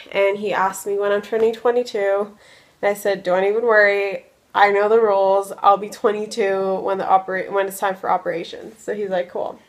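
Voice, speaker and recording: A woman in her early twenties chatting casually in a vlog, drowsy from medication, rambling with lots of likes and ums. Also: medium pitch. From United States. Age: 20s. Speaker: vlogger.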